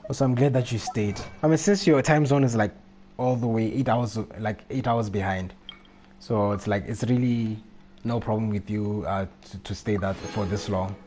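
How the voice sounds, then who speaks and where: A man's voice: lowish voice, steady tone, normal speed, dark tone, soft background music. A man chatting casually on a gaming livestream, rambling and repeating himself as he goes. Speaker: live streamer.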